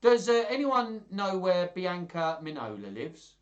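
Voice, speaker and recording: Man doing an affected high voice, smooth impostor servant, polite, storybook character. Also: big pitch swings, a little slow, highs cut off. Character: smooth male servant posing as his master.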